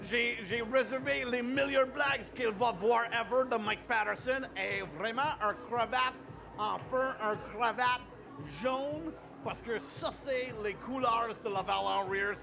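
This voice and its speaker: A very high, gravelly male voice, a native English speaker pushing through French with loud, playful energy. He drops English phrases into his French and lets out whoops. Music plays clearly behind him. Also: a little slow. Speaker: comedian guest. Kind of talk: hyped-up festival interview.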